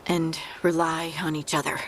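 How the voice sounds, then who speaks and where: A woman's voice: low voice, level tone, normal speed, a little hiss or hum, natural voice. Actress as earnest, determined young scout woman. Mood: resolved. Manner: plain-spoken, earnest. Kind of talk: fantasy video game voice acting.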